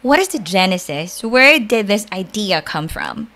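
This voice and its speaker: Bright, eager woman podcast host. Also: mid-range voice, sing-song, unhurried. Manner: enthusiastic, emphatic. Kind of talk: upbeat podcast hosting. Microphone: studio mic on a video call.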